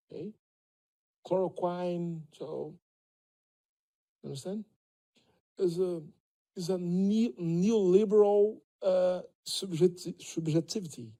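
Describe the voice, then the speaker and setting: A middle-aged man with a Brazilian Portuguese accent, speaking as a panelist at a debate in a lecture hall. He talks haltingly, repeats words and keeps checking that listeners understand. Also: a little high, mellow. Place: lecture hall stage, seated at a table. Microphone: table microphone.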